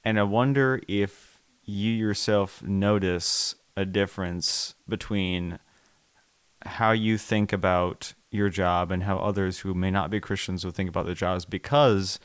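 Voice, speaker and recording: A man's voice: low-pitched, fairly even intonation, measured pace, smooth, no echo. A man hosting a conversational podcast interview, asking questions in a tentative, roundabout way.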